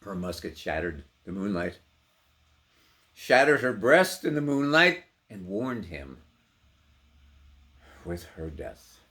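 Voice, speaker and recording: A man recites poetry theatrically in a lowish, forceful voice. His pitch swings widely and his intensity is high, moving between hushed and booming delivery at a deliberate, slow pace. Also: big pitch swings. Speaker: poetry performer.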